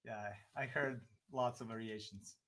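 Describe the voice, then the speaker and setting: Serbian-accented male host, casual, easygoing, quick to laugh, mid-pitched. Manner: relaxed, laughs easily, short agreeing replies. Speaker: show host. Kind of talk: casual livestream interview.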